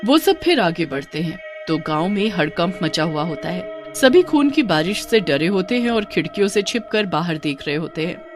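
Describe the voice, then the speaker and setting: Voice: medium pitch, expressive, normal speed, hardly any pauses. A storyteller giving scripted narration of a cartoon horror tale. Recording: music behind the narration.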